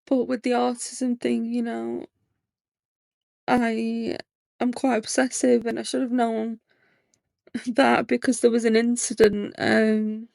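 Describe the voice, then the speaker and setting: A woman's voice: a little high, level tone, takes it slowly, vocal fry, pauses often, darkish tone, no echo. A woman in her late twenties hosting a podcast, talking candidly in a rambling personal monologue with lots of fillers. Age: 20s. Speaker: podcast host.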